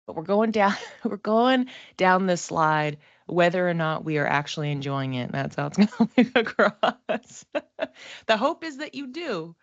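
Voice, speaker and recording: A woman giving a casual, informal tarot reading as a psychic, reflective and halting, often giggly as she talks. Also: fairly low pitch, expressive, dry recording, dull audio.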